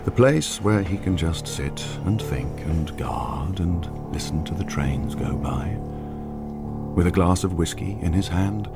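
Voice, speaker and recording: A brooding writer thinking aloud. His voice is extremely low and creaky, moving slowly and reflectively. Loud music runs under this radio drama monologue. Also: lively intonation, full character voice. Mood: brooding, absorbed. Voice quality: gravelly. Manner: measured, ruminative. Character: brooding, contemplative writer musing on murder.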